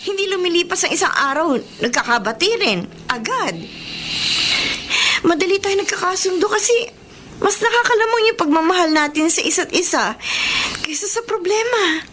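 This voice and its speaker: A woman's voice: very high voice, normal speed, a bit bright. A woman playing a touchy, sulky young girlfriend in a radio romance drama, with a Filipino accent and an emotional, reproachful delivery. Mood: hurt, pleading. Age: young adult. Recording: noisy radio drama broadcast.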